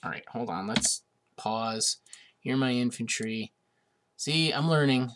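A man's voice: medium pitch, takes it slowly, noisy background. A young man hosting a gaming video, getting over a cold and a bit sniffly, chatting casually with lots of hesitations while he plays. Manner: chatty, hesitant with many uhs, amused. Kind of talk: casual let's-play commentary.

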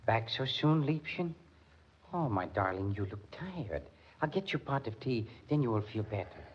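An actor with a German accent playing a lovesick, deranged musician turned murderer, sinisterly tender and theatrical in 1940s radio style. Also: male voice, mid-range voice, sing-song, moderate pace, slightly rough. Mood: tender, insistent. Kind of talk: 1940s radio drama acting. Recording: muffled 1940s radio recording, faint noise.

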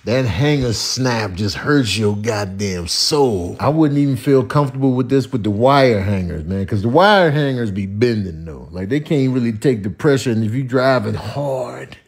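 Animated, slangy man doing comic commentary. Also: medium pitch, moderate pace, hardly any pauses, slightly rough. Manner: slangy, incredulous, exaggerated emphasis. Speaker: comedy voiceover commentator.

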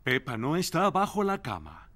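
A man's voice: a little high, huge pitch swings, normal speed, sharp tone, a little hiss or hum. A man reading scripted narration for a children's cartoon. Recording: music under the voice.

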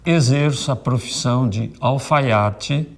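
A man in his seventies speaks slowly and earnestly. His voice is gravelly. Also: mid-range voice. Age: 70s. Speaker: interviewee, tailor.

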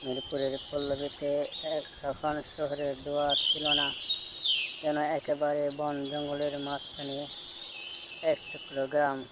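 A man's voice: on the high side, monotone, unhurried, heavy background noise. A man with a Bangladeshi accent narrating a scripted horror story. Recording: loud outdoor nature sounds behind voice.

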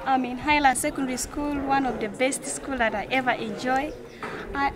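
A young woman from South Sudan with a South Sudanese accent, presenting a school tour in earnest, halting phrases on noisy location audio. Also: sits high. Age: young adult. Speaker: student tour guide. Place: school grounds and rooms, outdoors and indoors.

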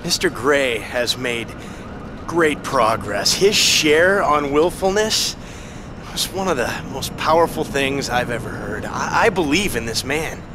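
An actor playing an earnest, devout addiction counselor, sincere and supportive, in a video game cutscene. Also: male voice, medium pitch, lively intonation, a little slow, natural voice. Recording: clear outdoor street and vehicle noise.

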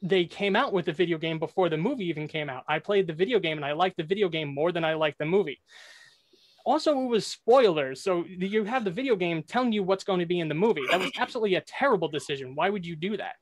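A man's voice: high voice, fast talker, dry recording. A young man on a video call with a headset, making brash, trash-talking debate points. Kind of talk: competitive debate argument.

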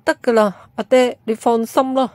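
Woman playing an earnest, high-voiced ancient emperor. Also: fairly even intonation, normal speed, darkish tone, no room sound, lightly acted. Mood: earnest, reassuring. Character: earnest, steady ancient emperor, dutiful son. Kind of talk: amateur radio drama acting.

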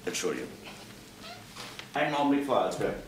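An actor playing a courteous, matter-of-fact police inspector in a crime drama, asking probing questions in a businesslike way. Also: male voice, medium pitch, big pitch swings, a little fast, lightly acted. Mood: probing, serious. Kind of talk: German crime drama acting. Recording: echoey room, clearly noisy old soundtrack.

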